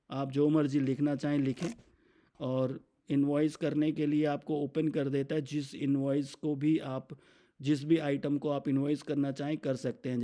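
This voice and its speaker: Mellow, even-toned Indian man explaining software. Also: mid-range voice. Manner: conversational, explains step by step, poses questions then answers them. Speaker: software product demonstrator. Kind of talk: explanatory software demo narration, semi-scripted.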